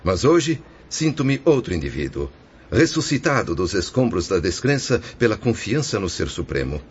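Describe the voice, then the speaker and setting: An actor playing a remorseful, resigned spirit of a ruined merchant in a solemn, declamatory religious radio drama. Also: male voice, fairly low pitch, very animated, moderate pace, slightly rough. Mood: grateful, earnest. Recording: dull lo-fi audio with faint noise.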